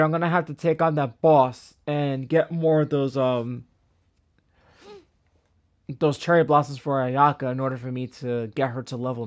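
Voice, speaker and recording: A man's voice: on the high side, darkish tone. A tired man giving casual livestream gameplay commentary, slangy, with mild swearing and muttered asides. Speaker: game streamer. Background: game music.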